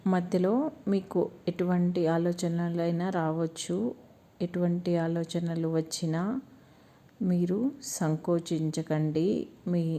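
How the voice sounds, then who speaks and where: Woman, Telugu Indian accent, very dark soft-edged tone, flat intonation, guided meditation, slow soothing phrases, long pauses. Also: fairly low pitch.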